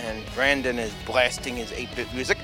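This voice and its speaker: A man's voice: mid-range voice, fairly even intonation, normal speed, music in the background. A young man co-hosting convention coverage in a playful, joking chat. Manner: playful, riffs on jokes and running gags. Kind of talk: casual joking banter.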